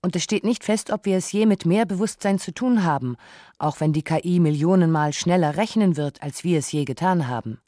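A woman reading a scripted radio documentary narration in a measured, reflective way. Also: lowish voice, warm tone, no room sound, clean studio sound.

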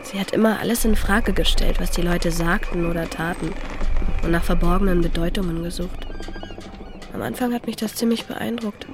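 A woman's voice: on the low side, moderate pace, music in the background, close to an everyday voice. An actress playing a frank, slightly wary teenage schoolgirl, speaking matter-of-factly in a radio drama. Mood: reflective. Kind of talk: German radio drama dialogue.

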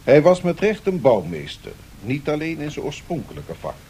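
A learned male professor lectures in a 1970s radio play with a very lively melodic range. He is formal, measured and didactic, weighing each point with caution. The recording carries a faint low rumble and noise. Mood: explanatory, measured. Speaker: professor answering questions.

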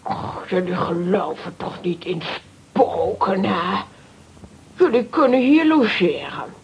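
A woman acting excitable, nervous ladies in a children's radio play, with animated, exclamatory delivery. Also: on the low side, slow pace, highs cut off, slight background noise.

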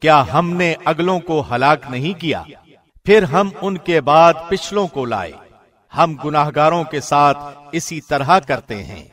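A man reads translated scripture from a script. His delivery is formal, measured and solemn, in the manner of a radio presenter. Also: a little high, expressive, a bit bright. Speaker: radio host reading Quran translation.

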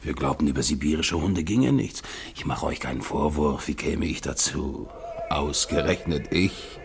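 An actor playing an exhausted, solemn polar expedition leader, reminiscing haltingly in a radio drama. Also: male voice, a bass voice, gravelly, noisy background. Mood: weary, wistful. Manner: halting, brooding, incantatory. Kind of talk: German radio play acting, dramatic monologue.

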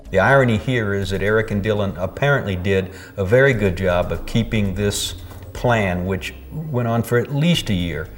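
An American man speaking as an expert interviewee, giving measured commentary in English. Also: fairly low pitch, slight background noise.

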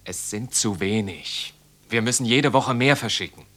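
An actor in a radio drama playing an urgent resistance comrade, urging others on in naturalistic dialogue. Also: male voice, on the low side, normal speed, a bit bright.

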